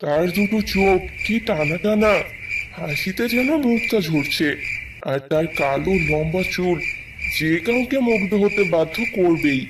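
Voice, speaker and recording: A man's voice: high-pitched, animated, a little slow, very noisy. A man voicing an inquisitive young monkey schoolboy in a cartoon, with casual, chummy delivery. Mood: smitten. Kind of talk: cartoon character dialogue. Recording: distant voice with faint background music.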